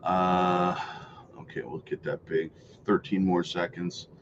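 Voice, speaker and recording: A man's voice: low voice, flat delivery, slow, dull audio, slight background noise. A man hosting a casual crypto livestream, rambling through viewer comments with many fillers while losing his voice.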